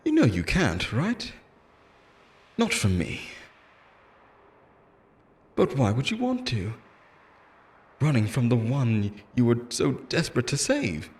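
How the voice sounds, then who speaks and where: Slow, wildly swinging obsessive immortal lover. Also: male voice, medium pitch, sing-song, darkish tone. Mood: taunting, menacing. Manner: theatrical, intense, swinging between tender and threatening. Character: obsessive immortal yandere lover, menacing, pleading, unhinged. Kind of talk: dramatic ASMR-style audio roleplay monologue.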